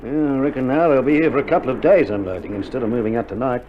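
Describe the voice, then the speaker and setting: An actor playing a weary but hopeful, stoical Australian army medic in a dramatised wartime radio play, with a laconic, slangy delivery. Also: male voice, medium pitch, racing, slight background noise, natural voice. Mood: matter-of-fact. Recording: muffled 1960s vinyl record, music behind.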